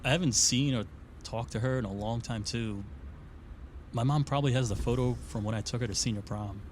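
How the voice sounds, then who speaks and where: A man's voice: mid-range voice, brisk, darkish tone, slight background noise, lightly acted. An actor playing an easygoing, self-deprecating man in his thirties, talking casually and a little sheepishly in an audio drama. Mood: sheepish, amused. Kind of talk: naturalistic audio drama acting.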